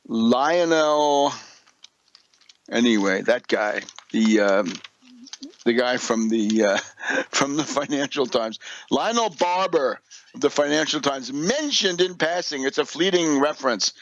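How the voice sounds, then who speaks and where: A man's voice: mid-range voice, dry recording, highs cut off. An American man hosting a radio commentary, reading out and remarking on material in an emphatic, opinionated and sardonic manner. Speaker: radio show host and political commentator. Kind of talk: political commentary, partly reading articles aloud with asides.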